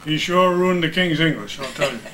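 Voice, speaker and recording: A man's voice: a little high, brisk, a crowd in the background. An older American man casually reminiscing as a guest among old radio colleagues.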